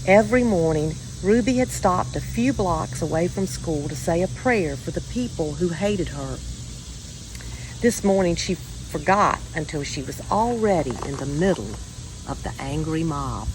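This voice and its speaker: A woman reading a children's story aloud in a measured, expressive way with deliberate pauses. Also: lowish voice, noisy background. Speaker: storybook reader.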